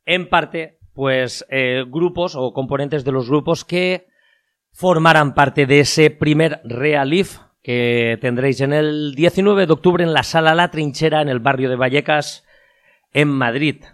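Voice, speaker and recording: Man, Spain Spanish accent, slightly high voice, podcast host, informal, halting delivery, casual introduction. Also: a bit bright. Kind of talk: casual spoken introduction to a round-table chat.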